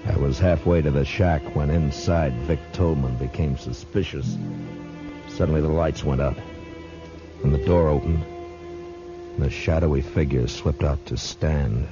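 A steady American lawman of the old West in a radio drama. His voice is very deep and gruff, with music around it on a dull vintage recording. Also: measured pace. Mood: tense narration. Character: gruff, steady frontier US marshal. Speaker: lead actor and narrator. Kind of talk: 1950s radio western acting and narration.

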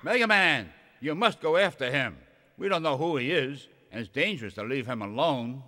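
A man voicing a grave, kindly elderly scientist mentor in an animated game, speaking in a measured, solemn way. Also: medium pitch, background noise, natural voice. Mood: urgent, solemn. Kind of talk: animated game cutscene dub.

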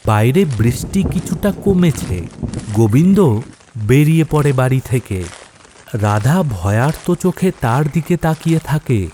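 A man's voice: mid-range voice, sing-song, measured pace, noisy background. A man giving scripted, dramatic narration for a horror story.

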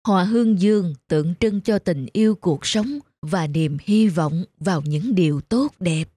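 A woman voicing a frail, gentle old wife in a cartoon, speaking softly and wistfully. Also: on the low side, unhurried, husky, dry recording, studio quality. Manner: soft, wistful.

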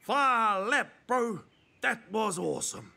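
Smug, animated cartoon stallion. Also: male voice, high voice, slow, gravelly, full character voice. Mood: impressed, smug. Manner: laid-back, self-important, theatrical. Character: cool, smug, self-styled wild stallion. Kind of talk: preschool cartoon voice acting.

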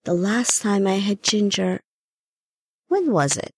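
Woman voicing a gentle, wistful old grandmother ghost. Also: medium pitch, sing-song, slow, husky, no room sound, studio quality, pitched above the performer's own voice, full character voice. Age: older.

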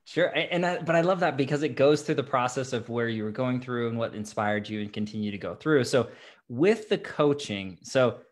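A man's voice: medium pitch, normal speed. Effusive young male podcast host. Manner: upbeat, effusive, repeats words. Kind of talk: enthusiastic interview hosting. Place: home office, indoors. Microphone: studio mic with headset, video call.

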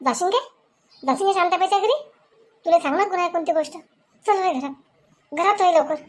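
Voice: very high-pitched, a little fast. A performer voicing a stern, domineering village husband and father-in-law in a comedy cartoon, with a Varhadi Marathi accent, repeating questions as demands. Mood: threatening. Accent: Varhadi Marathi from Vidarbha.

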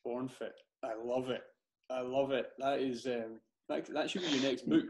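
A man's voice: medium pitch, steady tone, moderate pace, a little mellow. A man hosting an interview over a video call, asking questions with stumbling restarts. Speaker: podcast host. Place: small home studio room. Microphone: headphones and studio mic on a stand.

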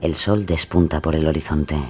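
An actor playing a grave storyteller in an audio drama, narrating solemnly in short, deliberate phrases. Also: male voice, a bass voice, steady tone, a little hiss or hum. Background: faint music.

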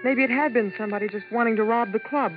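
A woman's voice: on the high side, fast talker, dull audio, natural voice. Actress as tired Vegas showgirl, female lead and narrator, vintage radio thriller, band-limited with music behind. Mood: tired, wary. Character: weary Las Vegas showgirl recounting her ordeal. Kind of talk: 1950s radio drama acting, first-person narration.

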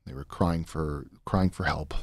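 An American man in his thirties, a podcast guest telling personal stories in a halting but emphatic way. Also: extremely low pitch, dry recording, studio quality. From Florida, United States. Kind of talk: conversational personal storytelling. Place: small podcast studio, indoors. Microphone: close boom studio mic with headphones.

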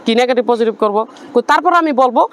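Fast-pleading high-voiced woman. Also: fairly bright tone. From Bangladesh. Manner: rapid, earnest, pleading. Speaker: interviewee. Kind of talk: pleading street interview.

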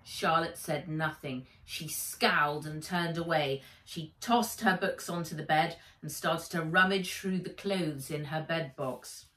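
A middle-aged woman author reading her children's story aloud, deliberate and dramatic, recorded in a room at home. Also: deep voice, sharp tone, far from the mic. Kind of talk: expressive children's story narration. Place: indoors, living room or garden room at home. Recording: roomy, echoing home recording.

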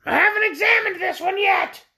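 Shrill storybook bird creature, squawky, very high, bright, proud, indignant, long-winded. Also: female voice, moderate pace, vocal fry, exaggerated voice. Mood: haughty, recounting. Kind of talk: storybook character voice in read-aloud.

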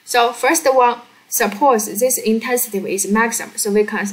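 A woman who is a non-native English speaker explains a worked problem step by step as a teacher, halting and often checking that the learner follows. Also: on the high side, steady tone, rough recording. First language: not English. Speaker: physics teacher.

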